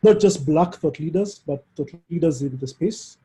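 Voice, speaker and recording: A man interviewing a guest in a casual conversational style, with hesitant, halting phrasing. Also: fairly high pitch, warm tone. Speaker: interviewer, summit organizer.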